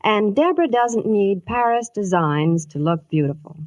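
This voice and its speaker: A woman's voice: medium pitch, expressive, normal speed, a little mellow, dry recording. A woman reading scripted Hollywood news and sponsor copy in a 1950s radio style. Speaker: Hollywood reporter and sponsor pitchwoman.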